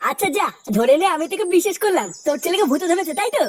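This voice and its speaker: A performer voicing a grumpy village husband and father in a comedy cartoon, sarcastic and scolding, with a pitched-up effect. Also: lively intonation, very fast, no echo, distant mic. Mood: skeptical. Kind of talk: exaggerated comic cartoon dialogue.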